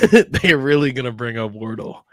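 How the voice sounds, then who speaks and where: A man's voice: medium pitch, expressive, measured pace, no room sound. Man, sports podcast co-host, playful trash talk, dry close sound. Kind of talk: casual joking banter.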